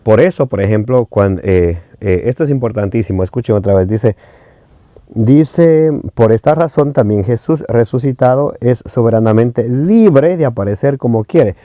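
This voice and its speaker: Mellow-voiced Ecuadorian man preaching. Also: medium pitch, expressive, no room sound. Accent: Ecuadorian Spanish. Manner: reads scripture references slowly, often hesitates with fillers.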